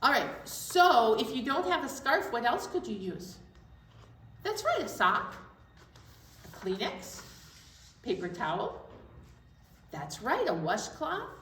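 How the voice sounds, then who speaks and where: A woman's voice: a little high, huge pitch swings, slow pace, pauses often, fairly bright tone. An American woman hosting an online storytime, giving preschoolers encouraging instructions and asking them questions. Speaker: children's storytime host. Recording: distant mic in echoey room, faint noise.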